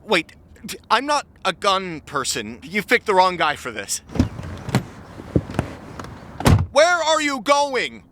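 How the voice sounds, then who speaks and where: A man's voice: high-pitched, wildly swooping pitch, a little slow, background noise, exaggerated voice. An actor playing an anxious, wry young American guy in an audio drama, incredulous and easily exasperated. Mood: panicked, incredulous.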